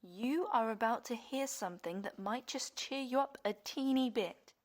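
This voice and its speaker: A woman plays a devoted young lady in a radio play. Her voice sits fairly high, genteel and affectionate, coaxing and reassuring as she speaks. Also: moderate pace, natural voice. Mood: bright, coaxing. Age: young adult.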